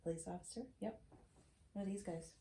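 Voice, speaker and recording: Middle-aged American woman, roomy and mellow. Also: on the low side, brisk. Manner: expressive, questioning, teacherly. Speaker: host reading to her granddaughter. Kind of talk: storybook read-aloud with casual asides to a child. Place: home library, seated at a desk. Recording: roomy echo, clear background noise.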